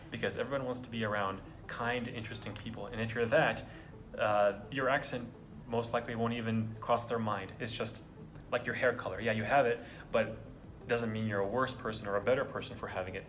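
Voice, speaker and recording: A young man from the US talking earnestly to camera in a casual vlog, encouraging viewers with frequent ums and restarts. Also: on the low side. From United States. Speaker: vlogger.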